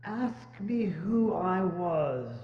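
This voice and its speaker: A man's voice: high-pitched, animated, very slow, heavily acted. A man in a dramatic stage reading, playing a solemn, mournful male ghost in a declamatory manner. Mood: solemn, doomful. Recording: muffled, reverberant church hall.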